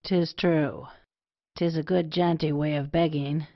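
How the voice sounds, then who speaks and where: A woman playing a proud, wry young gentleman suitor in a period comedy audio play, speaking in dry, archaic phrases. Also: low voice, steady tone, unhurried, no echo, lo-fi sound.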